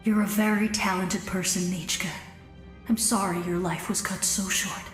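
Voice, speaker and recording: Adviser voiced by a woman, kind, steady, composed, reassuring, mid pitch, game character, music underneath. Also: moderate pace, reverberant, close to an everyday voice. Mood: compassionate. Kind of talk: video game voice acting.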